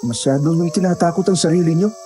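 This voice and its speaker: A man's voice: on the high side, fast, warm tone, slight background noise, lightly acted. A man playing a casual, dismissive young hiker in a horror audio drama.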